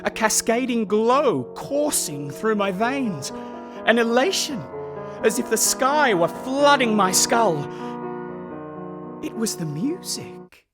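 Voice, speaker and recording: An actress narrating a period radio drama as a grave, articulate orphan recalling childhood, in formal, literary, reflective language. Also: female voice, a little high, big pitch swings, slow. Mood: rapt. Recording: music clearly behind the voice.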